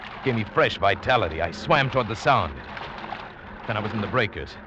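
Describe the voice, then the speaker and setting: An actor playing a shipwrecked big-game hunter who narrates his ordeal dramatically and deliberately in a vintage radio drama. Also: on the low side, animated, background noise, natural voice. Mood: desperate, straining. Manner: dramatic, deliberate, halting phrasing. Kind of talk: 1940s-50s radio drama acting, first-person narration. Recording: dull vintage radio recording, music underneath.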